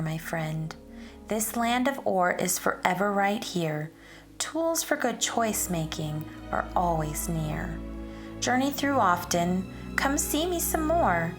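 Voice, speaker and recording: A woman narrating for children in an expressive, deliberate and instructive read-aloud style. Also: medium pitch. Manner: deliberate, instructive, addressing young listeners.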